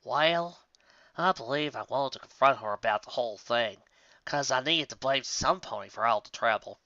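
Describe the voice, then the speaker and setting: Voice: mid-range voice, normal speed, bright, forceful tone, no room sound, highs cut off, lightly acted. A narrator voicing a level-headed, honest farm mare, a rueful elder sister pony in a storybook reading.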